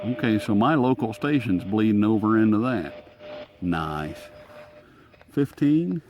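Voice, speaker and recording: Low-voiced man giving a casual demo. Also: expressive, unhurried. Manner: folksy, informal, thinking aloud. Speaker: radio reviewer and host. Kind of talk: casual hands-on gadget demonstration. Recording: clear static and radio noise behind.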